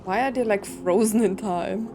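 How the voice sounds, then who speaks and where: A woman's voice: medium pitch, normal speed, noisy background. A woman casually commentating on a story game playthrough, puzzled and thinking aloud as she goes. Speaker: game streamer. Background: game music.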